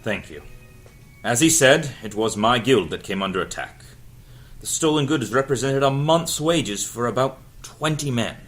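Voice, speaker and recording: A man playing a grieving, embittered guild miner in an audio drama read-through, somber and halting. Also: mid-range voice, expressive, fairly bright tone, faint music behind, natural voice. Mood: bitter grief.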